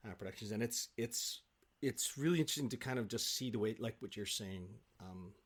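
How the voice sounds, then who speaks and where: Low-pitched man, casual and rambling. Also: a little fast. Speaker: guest, stage actor. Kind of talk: casual conversational interview. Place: home office, on a video call. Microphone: video call microphone.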